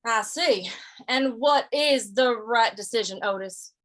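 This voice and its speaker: A laconic, reluctant cowboy of a western radio play , acted by a woman. The voice sits on the high side, and the delivery is folksy and hesitant, with stammered, drawn-out replies. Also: fairly bright tone. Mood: wry. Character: laconic, easygoing male cowboy drifter, reluctant peacemaker. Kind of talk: old-time western radio drama acting and narration.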